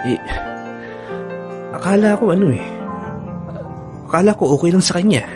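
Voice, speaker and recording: A man's voice: high voice, lively intonation, moderate pace, over music. A man with a Filipino accent playing an anxious, devoted boyfriend in a radio drama, speaking in a hesitant, earnest way. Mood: hurt, unsure.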